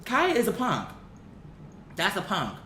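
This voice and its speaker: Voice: huge pitch swings, unhurried. A vlogger giving casual, opinionated commentary in a blunt, slangy and emphatic way. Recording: roomy echo, faint rumbling background noise.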